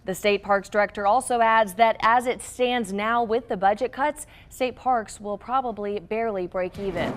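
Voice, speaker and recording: A woman's voice: medium pitch, moderate pace, few pauses. A woman working as a news anchor, reading scripted local news stories.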